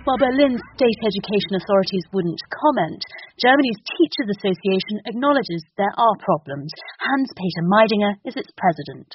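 Woman radio reporter, measured narration. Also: mid-range voice, lively intonation, dull audio, slight background noise.

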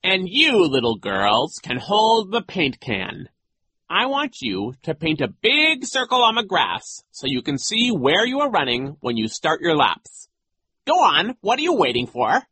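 A menacing storybook coach, sneers down at everyone in a strained high rasp. The pitch lurches wildly and the edge is sharp. He is impatient, condescending and commanding. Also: huge pitch swings, no echo, full character voice. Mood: bossy, menacing. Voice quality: scratchy. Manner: condescending, commanding, drawn-out words. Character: menacing, sneering, impatient male villain posing as gym coach.

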